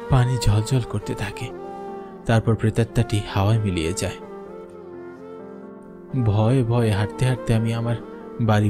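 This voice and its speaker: A man's voice: fairly low pitch, natural voice. A man playing a frightened schoolteacher who tells his ghost story in the first person, with dramatic delivery, for a horror cartoon. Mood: somber. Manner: dramatic, fearful, pleading when in dialogue. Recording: music bed under the voice. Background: music.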